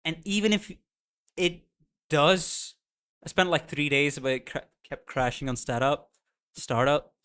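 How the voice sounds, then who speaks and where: A male streamer with a light Indian accent mixed with American and British tones, chatting casually and excitedly with his viewers. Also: a little high, measured pace, long pauses, smooth. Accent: Indian, blended with American and British. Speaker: streamer reacting to game music. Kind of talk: casual live-stream chat.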